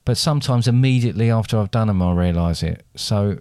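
A man's voice: on the low side, fast, a little mellow, smooth, dry recording, clean studio sound. A man co-hosting a podcast, chatting casually, rambling and restarting his sentences. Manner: rambling, hesitant, with many restarts. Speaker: podcast co-host.

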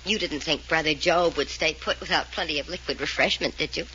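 A woman's voice: low voice, brisk, bright, forceful tone. An actress playing a cynical, wisecracking young American woman with a dry, tough manner in a 1940s radio serial. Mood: scornful. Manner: dry, sardonic. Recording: noisy, muffled vintage radio recording.

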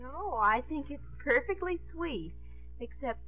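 A woman's voice: sits high, lively intonation, unhurried. A woman acting a dramatic, self-absorbed teenage girl in love in a 1950s radio comedy. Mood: sulky, catty. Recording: narrow-band 1950s radio, music behind.

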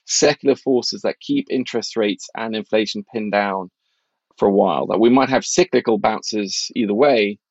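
A man's voice: fairly low pitch, moderate pace, slightly rough, dry recording, lo-fi sound. A middle-aged man from Norway talking casually and analytically as an interview guest, blunt and opinionated in an informal way. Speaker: financial journalist and author, interview guest.

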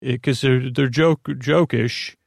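A man with a creaky voice hosting a bedtime podcast, talking in a soothing, meandering, halting monologue with goofy asides. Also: medium pitch, steady tone, no room sound, studio quality. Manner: soothing, lulling delivery. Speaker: podcast host. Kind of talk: rambling bedtime monologue to help listeners sleep.

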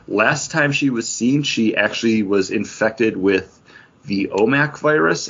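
A man's voice: fairly low pitch, creaky, a bit bright, slightly rough, lo-fi sound. A man hosting a podcast, talking casually about comics and beer in a conversational way. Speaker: podcast host.

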